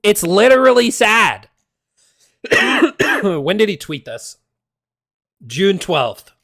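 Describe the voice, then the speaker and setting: A male streamer rants in a heated, exasperated way, cursing freely as his voice goes hoarse. His voice sits a bit high with a gravelly fry. The sound is dry and close, with no room echo. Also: very animated, slow, fairly bright tone. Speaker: game streamer. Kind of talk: casual livestream rant.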